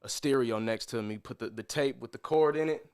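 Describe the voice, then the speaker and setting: A man's voice: mid-range voice, brisk, darkish tone, clean tone. A man in his thirties, a podcast guest telling his story in a boastful, profane, hyped-up way. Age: 30s. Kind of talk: casual interview chat.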